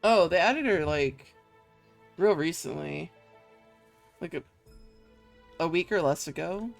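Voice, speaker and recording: A woman's voice: on the low side, animated, slow pace, gravelly. A woman giving casual, slangy, joking commentary as she plays a video game. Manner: laid-back, slangy, joking. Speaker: gamer streaming a playthrough. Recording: game music behind.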